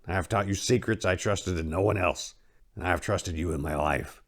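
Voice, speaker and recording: A man voicing a wise old prophet in a story reading, a stern but fond mentor speaking in a measured, earnest way. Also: low voice. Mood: fond, confiding.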